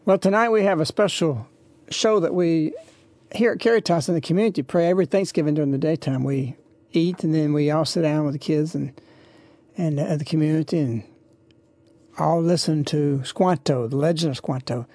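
A radio host with a creaky, gravelly voice at a middling pitch, recorded close and dry. The talk is informal and devotional, wandering along in a reverent way. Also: normal speed, warm tone, no echo.

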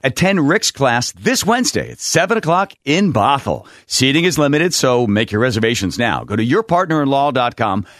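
A man's voice: very animated, moderate pace, few pauses, fairly bright tone, no echo, clean studio sound. A man reading a promotional radio spot in a persuasive announcer style. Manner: pitchy promotional delivery. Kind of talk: radio ad read.

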